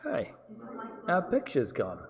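A man's voice: high-pitched, wildly swooping pitch, takes it slowly, crowd noise. A man with a New Zealand accent teaching a live-streamed law seminar, chatty and casual, putting questions to his students. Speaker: law lecturer. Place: lecture room with long reverb. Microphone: distant room microphone. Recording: reverberant lecture hall sound.

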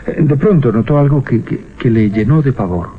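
Un hombre narra un relato de terror radiofónico con suspense y titubeos deliberados. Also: male voice, medium pitch, lively intonation. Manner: suspenseful, with deliberate hesitations and repetitions. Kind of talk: dramatic horror storytelling for radio. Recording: dull 1980s radio recording. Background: music.

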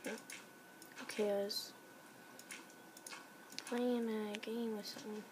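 A woman's voice: a little high, level tone, extremely slow, noisy background. Young woman teasing a friend offhandedly. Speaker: friend in the room. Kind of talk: casual chat.

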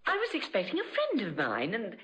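A woman's voice: medium pitch, wildly swooping pitch, fairly quick, fairly bright tone, highs cut off. An actress playing a prim, hesitant lady householder in old radio drama, genteel and easily flustered.

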